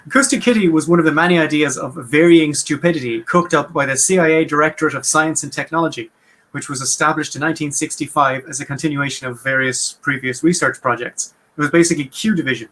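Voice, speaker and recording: A man with an Irish Munster accent, talking through a topic on a casual podcast, quick and wry. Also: on the high side, fairly bright tone. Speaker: podcast host. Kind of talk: casual explanatory chat.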